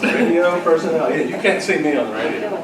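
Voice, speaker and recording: A man's voice: a little high, expressive, fast. A man acting as quiz master, reading trivia questions to a group and bantering in a jokey, teasing way. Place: large indoor room at a club luncheon. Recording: roomy, noisy hall, far from mic. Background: room noise from a gathered group.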